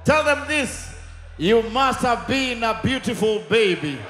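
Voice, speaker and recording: Kenyan man, very high voice, hyping a crowd on a handheld mic, echoey outdoor stage, loud band behind. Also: sing-song, measured pace, over loud music. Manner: shouting call-and-response to the crowd. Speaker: comedy show host. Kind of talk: live stand-up hosting. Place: large outdoor concert stage at night.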